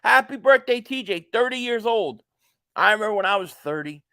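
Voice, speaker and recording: A man in his late forties with a notably high voice, chatting loosely through a live stream and drifting into jokey asides between viewer comments. The sound is dry and close, with no room around it. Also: expressive, a little fast, sharp tone, smooth, dry recording. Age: 40s. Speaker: live stream host reacting to a football game. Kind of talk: casual live stream chat, reading viewer comments aloud.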